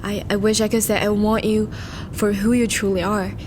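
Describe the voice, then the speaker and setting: A woman playing a charming, once-noble vampire in a romantic audio roleplay, speaking formally and intimately to the listener, with a soft, hesitant manner. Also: medium pitch, little pitch movement, moderate pace, noisy background, natural voice. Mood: anguished longing. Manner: formal, courteous, hesitant, soft-spoken confessions.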